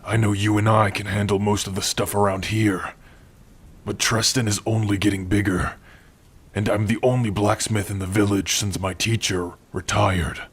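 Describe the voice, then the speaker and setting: A man playing a gentle, protective orc blacksmith in an ASMR roleplay, speaking tenderly and without hurry to a beloved listener. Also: fairly low pitch, flat delivery. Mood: thoughtful. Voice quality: husky. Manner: unhurried, tender, with soft sighs and hums. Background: rain.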